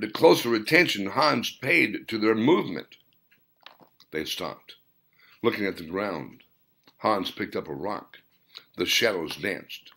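A man reading his own novel as a dramatic audiobook, in deliberate, theatrical short phrases. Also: on the low side, gravelly, a bit bright.

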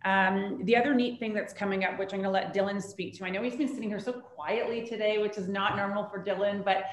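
A woman in her thirties hosts an office town hall on a video call, chatty and upbeat. Her voice sits at an ordinary middle pitch. The laptop mic picks up a roomy echo from the meeting room. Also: fairly even intonation, fast talker. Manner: chatty, upbeat, laughs easily. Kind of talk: casual video call announcements. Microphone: laptop camera on video call. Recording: echoey room.

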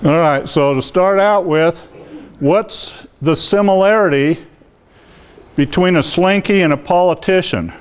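A man's voice: high-pitched, unhurried, clear, resonant tone. An older man giving a loose, rambling library talk to a seated audience, full of fillers and restarts, on a lapel mic as he walks around. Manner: says he has a loud voice. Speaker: guest speaker. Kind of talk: informal public lecture. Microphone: lapel mic, walks around the room.

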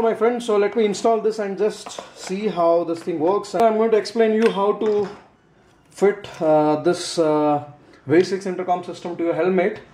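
Higher-pitched man demonstrating gear, mellow and echoey. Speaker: product reviewer. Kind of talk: casual unboxing and how-to demonstration. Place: indoors, small room at a tabletop. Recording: roomy echo, faint background noise.

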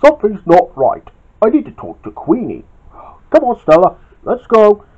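An amateur storyteller voicing an earnest, excitable male restaurant worker with emphatic, overwrought delivery. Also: medium pitch, moderate pace, husky, pitched above the performer's own voice. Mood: worried, earnest. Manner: emphatic, overwrought, stretched-out exclamations. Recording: dull, lo-fi home recording.

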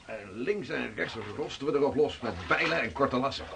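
An actor playing a hearty seafarer home from an Arctic voyage in a radio drama. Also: male voice, lively intonation, a little fast, creaky, a crowd in the background. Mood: earnest storytelling. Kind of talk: Dutch radio drama acting. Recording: noisy radio play recording with low rumble.

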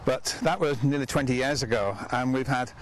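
A man's voice: mid-range voice, brisk, a little hiss or hum. Older man hosting outdoors, lapel mic. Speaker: ministry leader and host. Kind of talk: interviewing and promo to camera. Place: outdoors on a garden bench by a stone manor.

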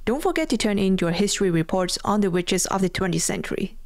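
A woman's voice: mid-range voice, lively intonation, fast, a little mellow, no room sound, studio quality, natural voice. A woman playing a composed female teacher of a combat class in a scripted audio drama. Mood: matter-of-fact. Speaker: voice actor in audio roleplay.

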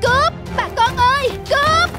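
Cartoon street scrap trader, earnest, high and lively, over loud music. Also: female voice, moderate pace. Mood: alarmed shouting. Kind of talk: animated moral tale dialogue.

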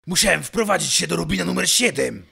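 A man playing a grumpy, self-important masked vigilante in a comedy fan dub, gruff and indignant. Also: on the high side, lively intonation, fast, full character voice. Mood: defensive. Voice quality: gravelly, forceful.

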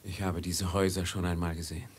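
An actor playing a proud, lovestruck, commanding merchant with a brooding streak, theatrically, in a 1950s radio play. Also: male voice, low-pitched, level tone, fast talker, breathy edge. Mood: brooding, uneasy. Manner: theatrical, imperious, swinging to brooding. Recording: 1950s mono radio recording with music.

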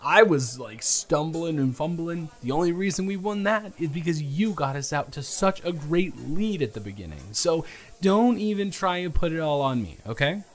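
A man's voice: fairly high pitch, lively intonation, moderate pace, close to an everyday voice. A man acting out a playful, flustered young guy on a first date in an improvised audio roleplay, teasing and stammering with mock indignation. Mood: mock stern. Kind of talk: improvised romantic audio roleplay, casual chat.

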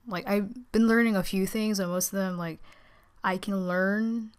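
A teenage girl with a naturally low voice, tired and subdued, giving a casual, rambling, hesitant update as a vlogger. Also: steady tone, measured pace, smooth. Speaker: video channel creator.

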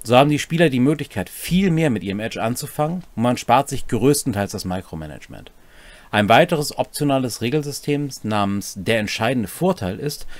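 A man's voice: mid-range voice, no room sound. A man in his forties explaining game rules to camera in an instructive way, addressing viewers directly. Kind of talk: rules explainer to camera, partly read from script. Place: small cluttered home room, indoors.

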